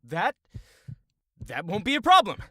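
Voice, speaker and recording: An actor playing a jumpy, timid, excitable young adventurer in a fantasy audio drama, anxious and exclaiming. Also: mid-range voice, a little slow, breathy edge, no room sound, clean studio sound, exaggerated voice. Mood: panicky.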